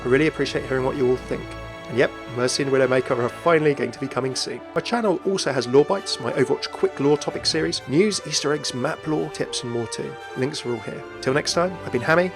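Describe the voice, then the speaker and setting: A man's voice: medium pitch, fast talker, music in the background. A man hosting a gaming video, narrating game lore in a chatty, upbeat way. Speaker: video host.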